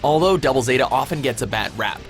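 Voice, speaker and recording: A man's voice: medium pitch, expressive, fast. A man reading scripted countdown narration with a playful, punchy fan-commentary delivery. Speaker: narrator of a top ten countdown. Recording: music behind the voice. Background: background music.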